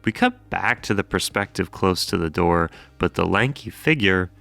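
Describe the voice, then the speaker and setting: A man's voice: low voice, expressive, normal speed, vocal fry, a bit bright, no room sound. A man hosting a horror explainer, mixing scripted narration with casual, wry commentary and jokey, sweary asides. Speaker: host of horror series explainer videos.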